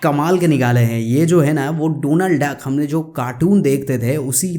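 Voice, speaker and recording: An Indian-accented man with a fairly high voice hosts a casual tech tutorial. He talks straight to viewers in a conversational way, dropping in English words. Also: normal speed, very noisy. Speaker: tech channel host. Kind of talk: casual app review tutorial narration.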